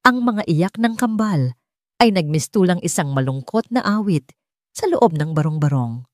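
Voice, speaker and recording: A woman's voice: lowish voice, expressive, normal speed, a little mellow, no echo, clean studio sound. A woman with a Filipino accent reading a scripted dramatic story as an audiobook narrator.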